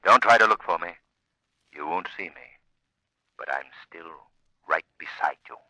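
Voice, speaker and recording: An actor playing a menacing, commanding unseen avenger in an old radio mystery, speaking with ominous, taunting deliberateness. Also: male voice, sits low, pushed and bright, no room sound, low-quality recording. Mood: quietly menacing.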